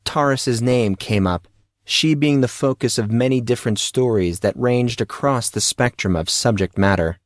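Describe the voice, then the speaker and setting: A man's voice: mid-range voice, normal speed, no room sound, studio quality. A man reading a scripted story as its narrator.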